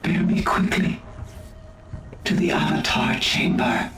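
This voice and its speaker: An actor playing a bitter, dying young alien warrior bent on vengeance in a game, solemn and dramatic. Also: male voice, on the high side, slow pace, a bit bright. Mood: bitter, weary resolve. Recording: echoey, music behind.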